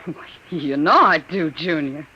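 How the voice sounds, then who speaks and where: A woman's voice: low voice, lively intonation, a little slow, sharp tone, slightly rough, full character voice. An actress playing a nervous, fretful gangster's girlfriend in a 1950s radio drama, with a breathless manner. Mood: uneasy. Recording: dull lo-fi old radio recording.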